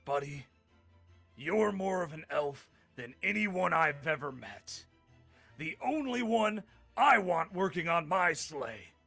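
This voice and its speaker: A man's voice: a little high, very animated, takes it slowly, gravelly, bright, forceful tone, soft background music, exaggerated voice. A man playing a weary, anxious but kindly old Santa Claus in a live table read, delivered emphatically. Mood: encouraging, urgent.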